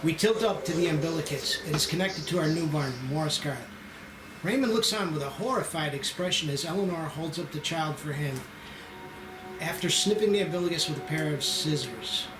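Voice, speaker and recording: A man's voice: a little high, brisk. A man narrating a screenplay at a table read with a dramatic storytelling delivery. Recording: echoey room with background music.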